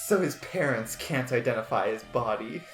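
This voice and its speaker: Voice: medium pitch, expressive, moderate pace, natural voice. An amateur reader playing an accusatory, scheming young woman in a dramatic story reading. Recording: roomy echo, faint music behind.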